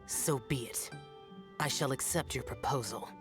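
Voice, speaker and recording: Very deep, brooding ancient warrior. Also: female voice, moderate pace. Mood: reserved, resigned. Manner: solemn, archaic formal speech, curt. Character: aloof, brooding, world-weary ancient warrior guardian. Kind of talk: dramatic video game dub acting. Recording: background music under the voice.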